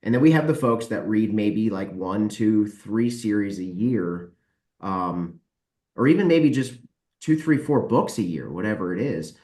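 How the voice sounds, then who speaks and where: A man's voice: lowish voice, lively intonation, a little slow, darkish tone. A man chatting casually and candidly as a podcast guest, giving emphatic opinions. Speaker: podcast guest, book publisher and author. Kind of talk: casual opinionated panel chat. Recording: roomy, echoey sound.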